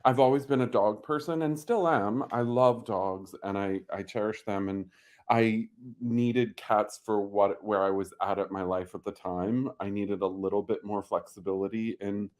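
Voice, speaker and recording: A man from Pennsylvania, a guest on a video call, talking haltingly and emotionally about his late cat with many pauses and restarts. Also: lowish voice, fairly even intonation. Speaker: pet owner guest. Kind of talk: emotional interview talk.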